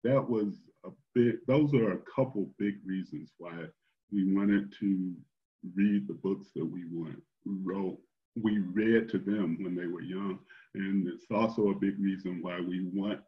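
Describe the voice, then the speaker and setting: An older man hosting a story time, speaking in a deliberate, measured way as he reads aloud and chats with listeners. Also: sits low, fairly even intonation. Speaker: storyteller and host. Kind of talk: expressive read-aloud storytelling, then casual chat. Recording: dull, lo-fi online call audio.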